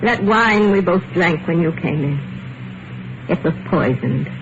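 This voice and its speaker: An actress playing an eerily calm, wounded and quietly vengeful wife in an old radio thriller, measured and wistful. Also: female voice, lowish voice. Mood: resigned, vengeful. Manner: measured, wistful, dramatic pauses. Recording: muffled, very noisy old radio recording.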